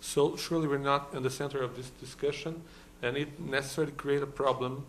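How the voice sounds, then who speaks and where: A man from Brazil speaks English with a Brazilian Portuguese accent at a conference. His voice sits at a middling pitch, and he talks haltingly, full of "uh"s and restarts. A faint hiss runs under the recording. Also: steady tone. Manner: hesitant, many fillers and restarts. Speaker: law professor, conference panelist. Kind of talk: conference talk. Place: conference hall.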